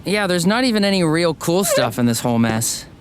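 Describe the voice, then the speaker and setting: Easygoing control-room guide, noisy background. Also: male voice, a little high, big pitch swings, fairly quick. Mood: wry. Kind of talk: scripted sci-fi comedy audio drama.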